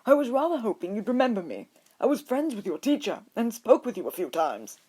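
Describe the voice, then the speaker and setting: A woman's voice: fairly high pitch, very animated, brisk, dark tone, breathy edge, pitched above the performer's own voice. A woman voicing a cheerful old male wizard headmaster for a story reading, with a genial, polite and wordy manner.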